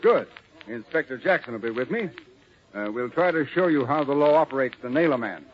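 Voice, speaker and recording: A man's voice: medium pitch, lively intonation, brisk, close to an everyday voice. An actor playing an assured middle-aged lawman in 1940s radio drama, explaining things with wry confidence. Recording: muffled, noisy 1940s radio broadcast recording.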